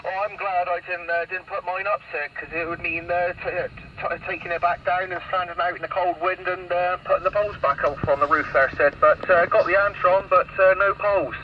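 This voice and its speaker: A man on a CB radio, chatting casually with a halting, filler-heavy delivery. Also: sits high, fairly even intonation, highs cut off. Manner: chatty, hesitant, many fillers. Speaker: CB radio operator. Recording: distant CB radio audio with heavy static.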